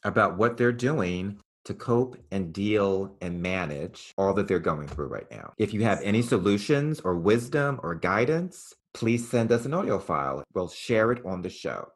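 Male podcast co-host, lowish voice, relaxed casual chat. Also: a little slow, few pauses, slightly rough.

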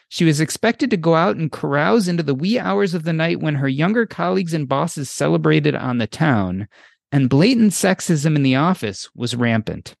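Lively gravelly middle-aged male host. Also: on the high side, dry recording, studio quality. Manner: reads a prepared intro in measured, emphatic phrases. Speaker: podcast host. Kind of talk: scripted intro then interview questions.

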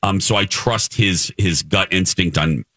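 A man hosting a casual entertainment radio show with campy, expressive emphasis. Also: sits low, a little slow, husky, no echo, clean studio sound. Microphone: close studio microphone.

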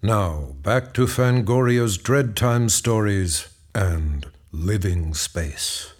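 A man's voice: on the low side, expressive, drawn-out words, a little mellow. A man reading scripted announcements for a radio horror show as its announcer.

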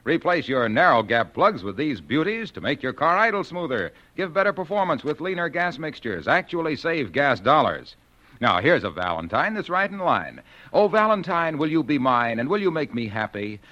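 A man working as a commercial announcer, delivering a peppy, salesmanlike 1940s radio ad pitch. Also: very animated, moderate pace, pushed and bright. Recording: dull vintage 1940s radio broadcast.

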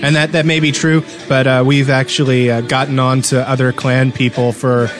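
Guy hosting a laid-back gaming podcast, ribbing people, middle pitched voice. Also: level tone, normal speed, faint music behind. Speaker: podcast host. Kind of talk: casual gaming chat.

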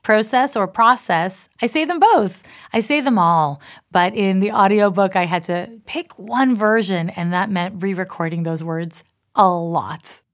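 A middle-aged woman from Canada whose accent blends Canadian and American sounds. She talks easily and at length, with a mid-range voice and a precise, particular way of shaping her words. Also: mid-range voice. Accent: mix of Canadian and American. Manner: particular, deliberate enunciation; chatty, self-described talker. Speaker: astrologer and author. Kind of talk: reflective personal monologue.

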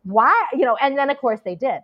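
Chatty actress on a dull lo-fi line. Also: female voice, sits high, big pitch swings, a little fast. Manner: chatty, many fillers and restarts. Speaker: actress, guest. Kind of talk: casual interview chat.

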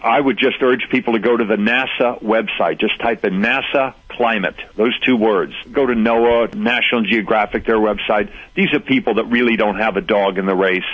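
A low, husky-voiced older man talks earnestly as a radio guest, pressing his points with conviction. The sound is dull and lo-fi, like an old broadcast. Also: steady tone, normal speed, few pauses. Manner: earnest, persuasive, chuckles easily. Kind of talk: radio interview.

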